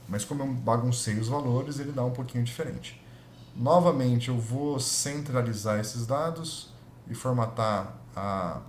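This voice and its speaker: Man, brazilian portuguese accent, physics instructor, screen tutorial, self-correcting, echoey room, faint hiss. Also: mid-range voice. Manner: thinks aloud, self-correcting, checks in with students. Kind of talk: screen-recorded spreadsheet tutorial.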